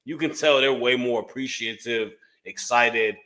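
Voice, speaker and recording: A man's voice: medium pitch, unhurried, bright, forceful tone, low-quality recording. A man co-hosting a casual podcast, slangy and loose, full of likes, dudes and bros. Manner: slangy, says like and bro a lot. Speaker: podcast co-host. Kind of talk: casual chat.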